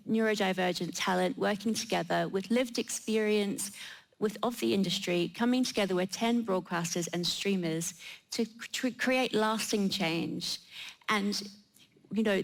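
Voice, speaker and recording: A woman speaks at a middle pitch in an earnest panel answer. She sounds like a participant explaining something she believes in. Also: low-quality recording.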